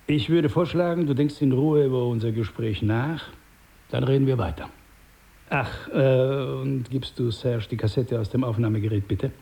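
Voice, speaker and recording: Condescending older crime boss, mellow-voiced actor. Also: male voice, medium pitch, natural voice. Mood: quietly threatening. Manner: condescending, measured, smooth-talking. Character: paternal, menacing older male crime boss. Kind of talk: radio drama acting.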